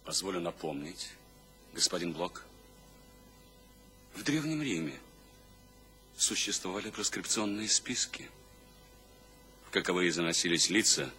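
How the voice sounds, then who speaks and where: An actor playing a coldly menacing political agent, formal, measured and ominous, in a radio drama. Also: male voice, deep voice, animated, pushed and bright, heavily acted. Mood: cold menace. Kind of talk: theatrical radio drama acting. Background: music.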